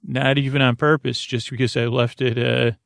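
Creaky-voiced man, hesitant and meandering. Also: medium pitch, flat delivery, moderate pace, no room sound, clean studio sound. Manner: meandering, hesitant, stumbling over words. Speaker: podcast host. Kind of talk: rambling sleep-podcast monologue.

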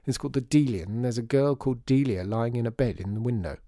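A man's voice: medium pitch, quick pace, dark tone, no echo, clean studio sound, natural voice. A man voicing a blunt, impatient young woman. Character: determined young woman shopkeeper, sharp-tongued.

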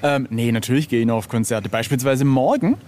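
A man's voice: mid-range voice, fairly quick, darkish tone. A middle-aged man chatting casually as an interview guest, hesitant and self-ironic, often searching for his words. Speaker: interview guest, illustrator of record covers.

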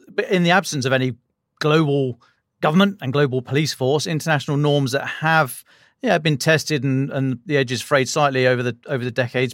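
British guy podcast host, mid-pitched, dry sound, piles up probing questions, chatty wording. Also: no room sound. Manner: probing, stacks questions, colloquial. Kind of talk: interview questioning.

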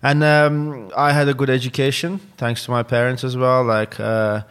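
A man's voice: mid-range voice, flat delivery, moderate pace, fairly bright tone, clean tone. A young man from Turkey with a Turkish accent, chatting casually as a podcast guest, full of fillers and restarts. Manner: rambling, many fillers and restarts. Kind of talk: casual interview chat.

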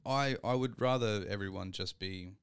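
A man's voice: on the low side, normal speed, clear, resonant tone. A young man hosting a casual podcast chat, rambling with many fillers and checking for agreement. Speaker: podcast host.

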